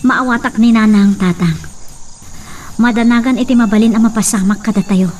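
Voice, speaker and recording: A woman plays a brave grown daughter in a radio drama. Her fairly high voice is earnest and pleading, with faint noise and crickets behind. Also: normal speed, darkish tone, lightly acted. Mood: earnest, concerned.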